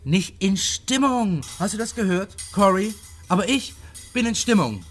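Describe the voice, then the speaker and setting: A man's voice: high-pitched, animated, measured pace, faint music behind. A man playing a cocky, menacing small-time crook in a radio crime drama, taunting and theatrical in delivery. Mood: mocking, excited.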